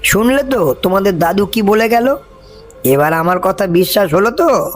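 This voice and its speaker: A man's voice: sits high, lively intonation, moderate pace, faint music behind. A man voicing a loyal, superstitious village servant in a cartoon, deferential, earnest and foreboding. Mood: earnest, humble. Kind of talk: cartoon voice acting.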